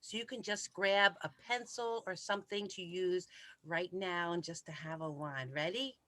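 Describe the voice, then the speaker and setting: A woman's voice: on the low side, moderate pace, a bit bright. A woman co-hosting a children's program, leading sing-along activities and talking directly to young children in an exuberant way. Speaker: children's library program co-host.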